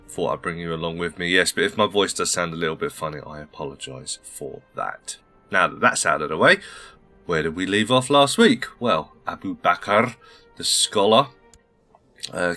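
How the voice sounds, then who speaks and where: A low-voiced man talks through a strategy game in a courteous, rambling way, slightly under the weather. His tone is bright and forceful. Soft music plays underneath. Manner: polite, formal greetings, thinking aloud. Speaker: gaming channel host. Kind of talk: casual let's-play commentary.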